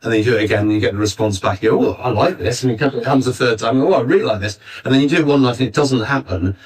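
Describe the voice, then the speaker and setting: Husky male panellist, distant recording. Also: medium pitch, rapid-fire. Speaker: panel speaker. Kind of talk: panel discussion, anecdotal explaining. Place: arts centre venue, live panel.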